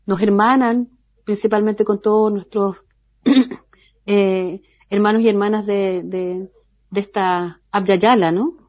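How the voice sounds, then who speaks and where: A woman with a Chilean accent, a guest speaking slowly and thoughtfully with many pauses and fillers. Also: medium pitch, steady tone, darkish tone, no echo, muffled recording. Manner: slow, thoughtful, many hesitations. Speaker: guest from a community cultural center. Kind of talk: remote interview talk.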